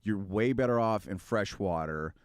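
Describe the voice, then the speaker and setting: A man's voice: lowish voice, normal speed, clear, resonant tone, dry recording, clean studio sound. A man co-hosting a talk show, chatting casually and explaining things to his colleagues. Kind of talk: casual chat.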